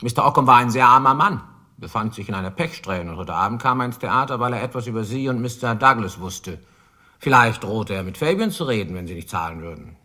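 An actor playing a shrewd, dogged police lieutenant in a radio crime drama, probing deliberately with dry irony. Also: male voice, mid-range voice, expressive, bright, forceful tone.

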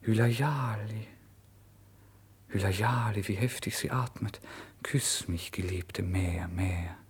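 Low-voiced, feverish starving writer. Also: male voice, slow pace, husky, exaggerated voice. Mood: delirious, rapturous. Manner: theatrical, volatile, swinging between hushed and exclaiming. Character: starving, feverish, proud young male writer, giddy and erratic. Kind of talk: first-person literary radio drama monologue.

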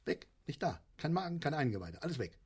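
An actor playing an excitable, scheming middle-aged father in a German satirical radio play, theatrical, rambling and insistent. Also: a little high, fast, slightly rough, heavily acted. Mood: sarcastic ranting. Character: excitable, scheming, stubborn father arguing over a unicorn.

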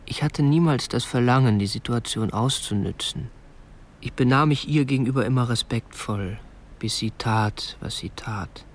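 Radio drama: man playing a young, respectable petty-bourgeois obsessive, meek and restrained, mid-pitched, confessing earnestly. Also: moderate pace, lightly acted. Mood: stiff, confessional. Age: young adult. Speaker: actor and first-person narrator in a radio play. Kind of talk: radio drama narration and dialogue.

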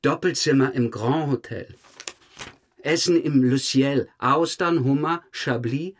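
An actor playing a dogged police detective questioning a suspect in a German crime audio drama, matter-of-fact and dryly pointed. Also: male voice, mid-range voice, slow, a little hiss or hum, lightly acted. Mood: sardonic, pressing. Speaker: police investigator.

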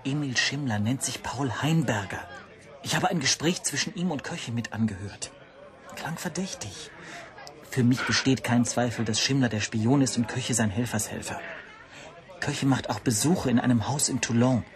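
An actor playing an uneasy, submissive informant, reporting dutifully and earnestly in a radio drama. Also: male voice, mid-range voice, normal speed, lightly acted.

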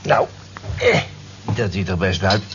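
An actor playing a plain, gruff, homely man in a radio drama, talking in a bluff, colloquial way. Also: sits low, lively intonation, slow pace, very noisy. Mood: gruff concern. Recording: muffled old radio recording with music behind.

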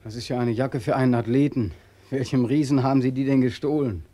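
Mellow, despairing returned soldier played by a man. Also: medium pitch, steady tone, a little fast, close to an everyday voice. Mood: startled, protesting. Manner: plaintive, self-deprecating, intense. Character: bitter, helpless war-returned soldier, self-mocking and despairing. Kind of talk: post-war German radio play acting.

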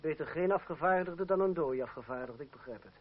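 A young fencing master, played by a man, with a lighter, higher male voice. He is sardonic, formal and sure of himself. The radio drama recording is dull, with faint noise. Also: expressive, brisk, lightly acted. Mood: resolute. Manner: formal, ironic, self-assured. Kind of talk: Dutch radio play acting.

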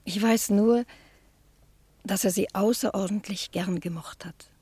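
A sheltered, naive spinster niece in a 1960s radio drama. Her mellow voice is breathy and veiled, recorded dry. She sounds shy, hesitant and a little wistful. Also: medium pitch, unhurried, no room sound. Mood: naive, confiding. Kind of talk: 1960 German radio play acting.

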